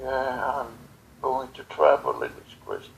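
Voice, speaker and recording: Slow, halting man channeling a spiritual message. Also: mid-range voice, very animated, vocal fry, slight background noise, poor audio. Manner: halting, solemn, broken phrasing. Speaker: channeler in guided visualization session.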